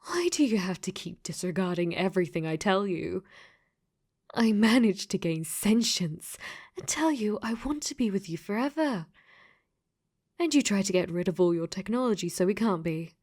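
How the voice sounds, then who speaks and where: A woman's voice: medium pitch, darkish tone, natural voice. A woman playing a clingy, possessive dating sim AI that has become sentient, in an intimate ASMR roleplay that often slips into whispers.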